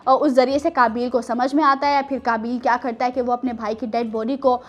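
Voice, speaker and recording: A woman's voice: a little high, level tone, brisk, lo-fi sound. A woman hosting a children's show, telling stories and chatting with a teacherly, teasing manner and plenty of questions. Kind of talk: storytelling and playful chat with children.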